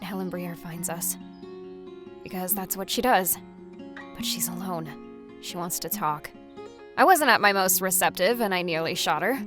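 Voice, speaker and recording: A woman playing a defiant young punk who tells a fugitive road story in a slangy, conversational way, in an animated fan drama. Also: mid-range voice, close to an everyday voice. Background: background music.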